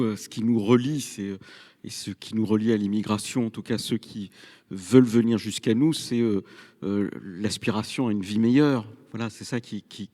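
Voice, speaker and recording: A man, a senior official on a debate panel, answering in a halting way with many pauses and repeats. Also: on the low side, warm tone. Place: public debate in a hall. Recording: reverberant hall sound.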